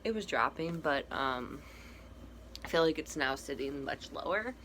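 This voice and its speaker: A young man with a cracky, breaking voice, giving a casual personal update in a halting, soft-spoken way. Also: a little high, lively intonation. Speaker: vlogger. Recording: noticeable background noise.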